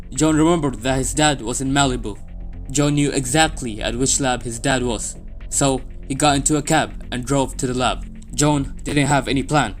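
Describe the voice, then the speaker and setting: A man reading a scripted story as its narrator. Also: medium pitch. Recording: background music under the voice. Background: music bed.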